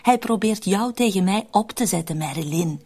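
An actress playing a cynical, scheming woman who deflects blame in a radio drama, with a husky voice. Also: medium pitch, expressive, normal speed, warm tone. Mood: defensive, accusing.

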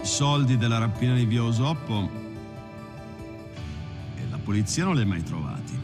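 An actor playing a sly, wry bank robber with a deadpan, deliberate delivery in a film monologue. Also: male voice, mid-range voice, lightly acted. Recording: loud music over the voice.

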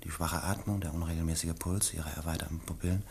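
A man acting as a composed chief physician in a German radio mystery, speaking in a deliberate, clinical manner. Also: rumbling low, fairly even intonation, vocal fry, a little mellow, slight background noise. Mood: grave, clinical. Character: composed male chief physician giving a careful diagnosis.

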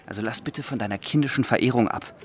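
A man's voice: medium pitch, fast talker, natural voice. An actor playing an ambitious, dutiful young man in a German radio drama, earnest and lecturing. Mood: exasperated, lecturing. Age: young adult.

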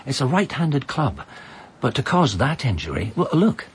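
Ex-cop, measured, deductive, lively intonation. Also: male voice, medium pitch, a little hiss or hum. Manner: measured, reasoning. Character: disgraced ex-chief inspector, guarded and sharp. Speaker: former detective. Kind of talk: radio drama acting.

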